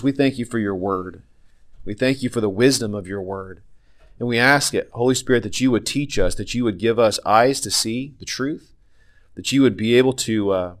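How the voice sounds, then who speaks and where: Low-voiced pastor guy with a cold, sniffly, lots of ums and restarts while he teaches. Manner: halting, many fillers and restarts. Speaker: pastor and podcast host. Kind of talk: Bible teaching sermon.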